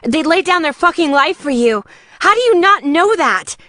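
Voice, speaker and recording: A woman's voice: high-pitched, big pitch swings, normal speed, pushed and bright, no echo, clean studio sound, voice pushed up, heavily acted. A woman voicing a fierce, loyal young biker woman in an audiobook, bossy, confrontational and exasperated. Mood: fierce, insistent. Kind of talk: audiobook character dialogue.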